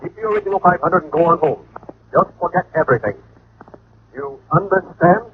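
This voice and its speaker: An actor playing a curt, demanding client heard through a telephone filter in an old radio detective drama. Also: male voice, on the high side, a little fast. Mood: curt, agitated. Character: curt, agitated wealthy male client. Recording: thin phone line, old radio.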